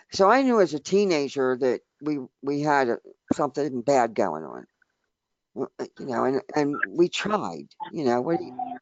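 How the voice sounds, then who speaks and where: A man in his sixties from the area near Washington D.C., speaking in a halting, emphatic way with many restarts during a casual group discussion. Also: fairly high pitch, dry recording. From United States, near Washington D.C. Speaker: open mic speaker. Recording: dry, dull lo-fi recording.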